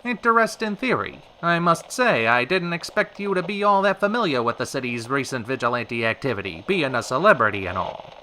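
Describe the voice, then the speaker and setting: An actor playing a showy radio host of a retro variety hour, with polished, theatrical announcer patter in an old-time radio style. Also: male voice, medium pitch, very animated, a little fast, few pauses, pushed and bright, slight background noise, rough recording. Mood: inquisitive, smooth. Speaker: radio show host and interviewer.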